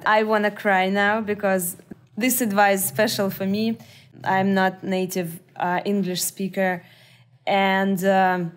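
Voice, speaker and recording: A podcast host, a woman with a Russian accent, talks in an effusive, halting way and reaches for words with fillers. Her pitch sits in an ordinary middle range. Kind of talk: casual interview chat.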